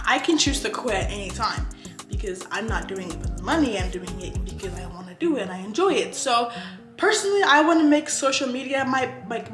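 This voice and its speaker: A woman's voice: a little high, a bit bright, roomy sound. A seventeen-year-old girl from the USA talking casually to camera about money, with lots of "like" and "I don't know". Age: teens. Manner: chatty, full of "like" and "I don't know". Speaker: finance YouTuber.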